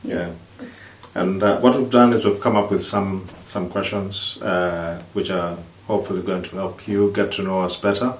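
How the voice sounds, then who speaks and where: A man, co-hosting a vlog in halting, casual chat. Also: low-pitched, fairly even intonation. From East Africa, born in Kenya, Ugandan. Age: 30s. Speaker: vlog host. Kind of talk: casual couple chat to camera. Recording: roomy, distant mic, faint noise.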